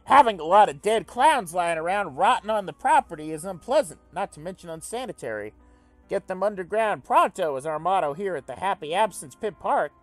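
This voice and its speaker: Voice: mid-range voice, expressive, a little fast, no room sound, faint music behind, voice pushed up, close to an everyday voice. A reader voicing a zealous, bouncy male alien revolutionary who preaches in fervent speeches.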